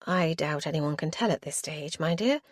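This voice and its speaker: A woman voicing a brisk, tart married lady of the Regency era who speaks with crisp formality. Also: low-pitched, no room sound, studio quality.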